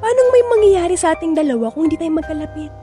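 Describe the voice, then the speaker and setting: High, lively flirtatious Filipina. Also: female voice, fast talker. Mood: coaxing determined. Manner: playful, brash, coaxing. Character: bold, flirtatious, eager woman determined to conceive. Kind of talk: Filipino radio drama acting. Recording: music bed under voice.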